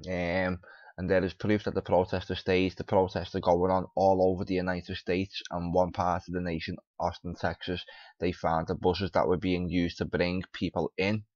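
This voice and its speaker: A man with a very low, flat voice reads out and comments on a piece for his video, tripping over words now and then. The sound is dry, dull and lo-fi. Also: moderate pace, no room sound. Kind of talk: reading an article aloud with off-the-cuff commentary.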